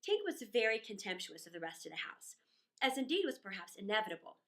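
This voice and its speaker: A woman reading a children's story aloud in an expressive, playful, theatrical manner with chatty asides. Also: mid-range voice, fast.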